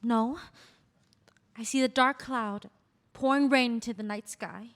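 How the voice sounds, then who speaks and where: A woman reading the part of a graceful, poetic Khmer court dancer in a stage play, with a lyrical, measured delivery. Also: on the high side, fairly bright tone. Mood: wistful, playful. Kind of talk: stage play table read.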